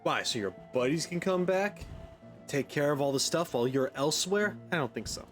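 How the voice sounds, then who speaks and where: A man's voice: on the high side, animated, normal speed. Guy streaming a game, casual and sarcastic, voice moves up and down a lot, faint music behind. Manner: sceptical, sarcastic, blunt. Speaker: gaming streamer. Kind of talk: casual let's play commentary.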